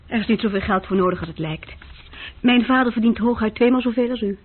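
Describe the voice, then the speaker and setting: An actress playing an earnest, thoughtful young female university student in a radio drama, hesitant and gently frank. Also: fairly high pitch, quick pace, husky. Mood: shy, frank. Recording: muffled old radio recording.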